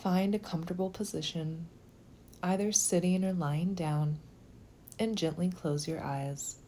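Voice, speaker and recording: A woman leading a guided meditation in a slow, gentle, soothing manner, with phrases sometimes softening toward a whisper. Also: lowish voice, steady tone, a little hiss or hum.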